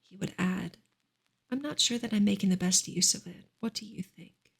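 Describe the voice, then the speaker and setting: A woman reads a novel aloud in a soft, hushed ASMR style, sometimes close to a whisper. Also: medium pitch, normal speed, gravelly, slightly rough. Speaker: reader on an ASMR channel. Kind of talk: soft ASMR audiobook reading.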